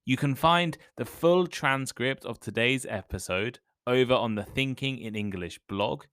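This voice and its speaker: Clear, measured male podcast host. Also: mid-range voice, a bit bright, dry recording, studio quality. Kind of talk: scripted intro then casual interview.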